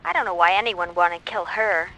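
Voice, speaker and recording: A woman's voice: fairly low pitch, a little fast, sharp tone, poor audio. A woman playing a carnival owner's guarded wife under questioning in an old-time radio drama.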